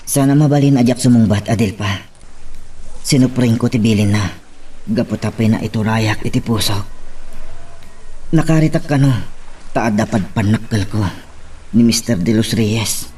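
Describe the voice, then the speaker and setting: A man's voice: fairly low pitch, moderate pace, long pauses, dark tone, slight background noise, natural voice. A man playing a troubled, resigned lover in an Ilocano audio drama. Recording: slightly distant from the mic.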